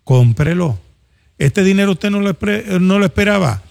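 A man's voice: sits high, animated, normal speed, creaky, darkish tone. A man with a Puerto Rican accent speaking in a colloquial, conversational way as a talk show host, addressing listeners directly. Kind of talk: casual conversational commentary. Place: studio.

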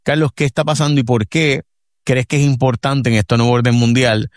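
A man with a mid-range voice hosts a talk show in Spanish. He sets up each topic with halting restarts and fillers. The recording is dry and close. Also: mid-range voice, fairly even intonation, dry recording. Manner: lays out topics, hesitant restarts. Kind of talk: casual talk-show hosting.

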